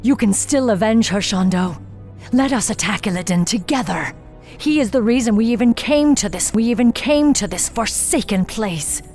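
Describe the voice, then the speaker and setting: An actress playing a stern, vengeful elven warrior commander in a fantasy game, speaking with cold, formal authority. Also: female voice, a little high, animated, moderate pace, darkish tone, soft background music. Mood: urging. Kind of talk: dramatic game cutscene acting.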